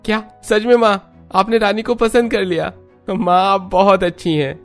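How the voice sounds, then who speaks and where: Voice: mid-range voice, fairly even intonation, normal speed, soft background music, lightly acted. An actor voicing an eager, polite young man, the youngest son, in a cartoon family story, respectful and earnest. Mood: surprised, delighted. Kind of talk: animated family story dialogue.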